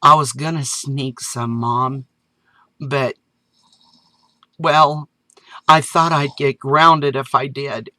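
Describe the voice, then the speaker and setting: A man's voice: medium pitch, steady tone, slow pace, long pauses, pushed and bright, dry recording. A man voicing an earnest little boy who keeps pleading and saying "Mom".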